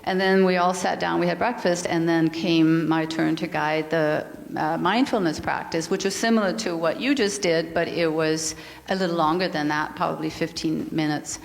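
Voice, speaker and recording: Earnest Danish woman with a low voice. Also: moderate pace, vocal fry. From Denmark. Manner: calm, earnest, repeats words as she thinks. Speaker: yoga and mindfulness teacher. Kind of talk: reflective spiritual talk. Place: spiritual community meeting hall. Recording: reverberant hall.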